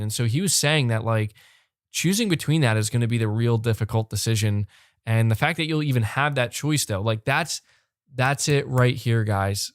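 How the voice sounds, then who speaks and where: A young man hosting a live podcast, talking fast and animated, repeating words when fired up and losing his voice. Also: medium pitch, dry recording, clean studio sound. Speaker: podcast host reading super chats. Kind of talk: excited live-stream chat. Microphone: close desk mic.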